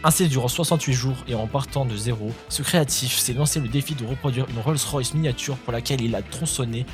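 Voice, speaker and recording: A chatty, joking man reads online articles aloud and keeps cutting in with slangy quips. His voice sits at an ordinary middle pitch. Clear music plays behind him. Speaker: YouTuber reacting to web articles. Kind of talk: casual reaction commentary, reading articles aloud.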